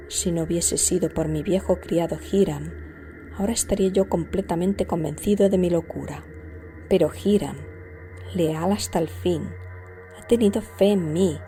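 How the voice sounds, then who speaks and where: A woman narrator giving a measured, scripted reading of a gothic horror story. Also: lowish voice, mellow. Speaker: audiobook narrator. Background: music.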